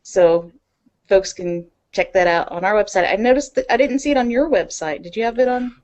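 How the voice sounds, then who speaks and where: A woman's voice: mid-range voice, fairly quick, a little mellow, muffled recording. A woman with a mid-range voice speaks as a podcast host. She interviews in a casual, conversational style.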